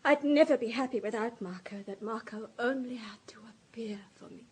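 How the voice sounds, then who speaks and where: An actress in a radio drama playing a distraught, self-pitying society woman, halting and stammering with emotion. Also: on the high side, a little mellow, husky. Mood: despairing, tearful.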